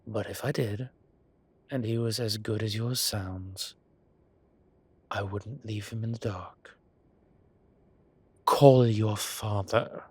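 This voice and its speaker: A man voicing an old, fatherly, whimsical boss, fond and gently insistent, with theatrical old-fashioned phrasing in an audio roleplay drama. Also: on the low side, steady tone, slow, pauses often, slightly rough, no echo. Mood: gentle, grave.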